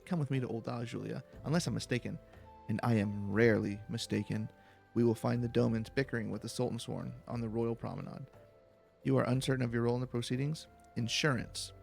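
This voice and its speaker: A man's voice: medium pitch, steady tone, a little fast, gravelly, darkish tone, soft background music, natural voice. A man voicing a smug, silver-tongued young rich nobleman from a fantasy game, in haughty, formal, eloquent speech. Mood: smug, assured. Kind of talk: reading video game dialogue in character.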